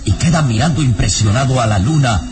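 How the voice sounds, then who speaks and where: Theatrical male radio narrator, animated intonation. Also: medium pitch, fairly quick. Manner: theatrical, suspenseful. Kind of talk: dramatic scripted radio serial narration. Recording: radio drama with loud music behind.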